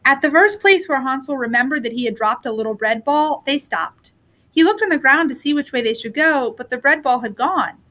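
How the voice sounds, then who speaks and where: A woman reading a children's story aloud in an expressive storytelling style. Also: sits high, a little fast. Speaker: storybook reader.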